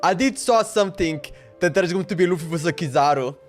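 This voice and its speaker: A man's voice: high-pitched, a little fast, faint music behind. A young man chatting casually on a game livestream, rambling and slangy, often stumbling over repeated words. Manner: rambling, slangy, stammering repetitions.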